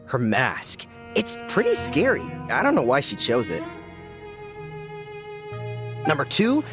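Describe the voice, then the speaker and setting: Theatrical lovestruck young soldier, swooping pitch. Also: male voice. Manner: theatrical, rambling, dramatic word lists. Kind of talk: animated video game dialogue.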